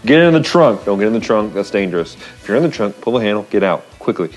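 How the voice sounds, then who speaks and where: An American man in his thirties talks as a vlog host in a casual storytelling style. His pitch is very lively and he leans on words for emphasis, over faint background music. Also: fast. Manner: animated, expressive, repeats phrases for emphasis. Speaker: show host. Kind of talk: casual storytelling with devotional encouragement. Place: small wood-panelled room, indoors.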